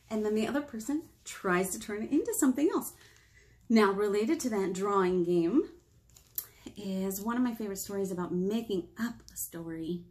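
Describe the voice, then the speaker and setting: Slow, animated woman reading to children. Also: mid-range voice. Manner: animated, sing-song, with whispers and gasps. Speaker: children's librarian. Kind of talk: storytime read-aloud for children. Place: small room indoors.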